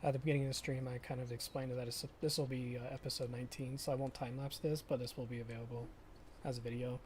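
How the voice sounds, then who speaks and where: A young man chatting casually with viewers during a live stream of a farming game, often pausing and restarting his sentences. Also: medium pitch, flat delivery, a little mellow, a little hiss or hum. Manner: halting, self-correcting, chatty with viewers.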